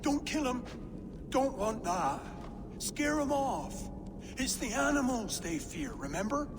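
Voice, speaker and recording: An actress playing a simple, gentle wild hermit who befriends animals in a video game, with rustic, halting speech. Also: female voice, sits high, sing-song, full character voice. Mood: pleading. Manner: rustic, plain-spoken, halting. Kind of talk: video game cutscene acting. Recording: echoing space, clear background noise.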